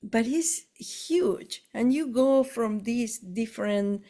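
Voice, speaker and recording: A woman with an Argentine Spanish accent, whose first language is Spanish, speaks slowly in a soft, mellow tone. She talks in a relaxed, halting way, often pausing to search for the right English word. Her delivery stays easygoing and conversational. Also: fairly high pitch. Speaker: spiritual tour guide. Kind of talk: casual conversation.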